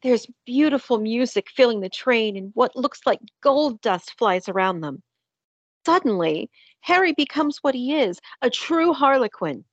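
A woman's voice: on the high side, lively intonation, unhurried, no room sound, highs cut off. A woman narrating a scripted audio drama in a descriptive storytelling manner.

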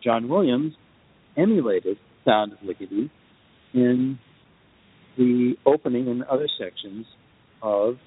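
A man explaining things emphatically in a podcast discussion, speaking as a guest composer with deliberate, lecturing phrasing. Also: medium pitch, animated, slightly rough. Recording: tinny phone-like line, long reverb.

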